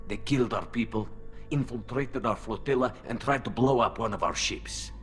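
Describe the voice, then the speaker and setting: A man voicing a hostile, suspicious alien soldier in a video game, gravelly and bluntly accusing. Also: medium pitch, moderate pace, a bit bright, faint music behind, natural voice. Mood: angry, accusing.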